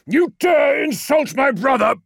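A man's voice: fairly high pitch, big pitch swings, moderate pace, vocal fry, a bit bright, no echo, clean studio sound, exaggerated voice. A man voicing a towering, wrathful demigod warlord with a fracturing mind, in imperious and anguished declamation for an audiobook. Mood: imperious fury.

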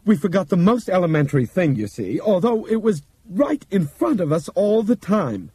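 A man voicing a shaken middle-aged man who speaks slowly and solemnly, like a formal announcement. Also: sits high, big pitch swings, dark tone, slightly rough, no room sound, voice pushed up, full character voice. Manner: slow, measured, solemn announcing.

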